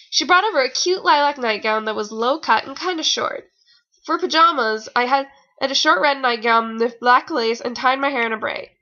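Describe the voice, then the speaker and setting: A woman's voice: on the high side, pushed and bright. A young woman reading fan fiction aloud in a casual, informal way, with rambling, sarcastic commentary. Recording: dull lo-fi home recording.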